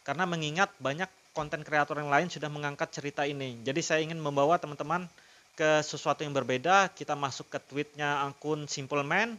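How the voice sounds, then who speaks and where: A man narrating a horror story in a slow, savouring read-aloud, with his own commentary to viewers. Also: on the high side, steady tone, fairly bright tone. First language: not Javanese; Javanese not fluent. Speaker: storytelling content creator. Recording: music clearly behind the voice. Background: background music.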